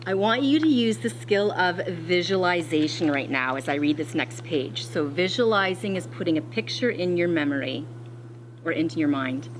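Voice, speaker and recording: A woman teacher reading a picture book aloud to children in an expressive, deliberate way, pausing to explain as she goes. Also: lowish voice, a little hiss or hum, rough recording.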